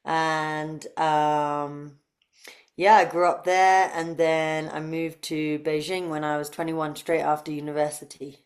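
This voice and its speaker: Low-voiced English woman. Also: level tone, slow pace. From UK, grew up near London. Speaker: interview guest, breathwork facilitator. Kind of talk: conversational interview answers.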